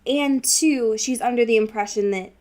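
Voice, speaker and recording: A woman's voice: on the high side, normal speed, a little mellow. A woman co-hosting a podcast in a casual book discussion, talking in a wry, informal, slangy way and acting out lines as she recaps. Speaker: podcast co-host.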